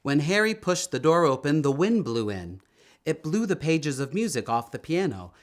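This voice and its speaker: A man reading a children's story aloud in an expressive, emphatic storytelling manner, drawing out words. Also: a little high, normal speed. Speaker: storytime reader.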